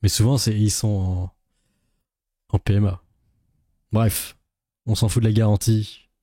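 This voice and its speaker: A man comments casually as he streams, grumbling and sardonic. His voice is on the low side and very mellow, recorded close and dry with no room around it. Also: little pitch movement, slow pace, no room sound. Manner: sardonic, complaining. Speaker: streamer, reaction host. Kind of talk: casual live commentary on a video.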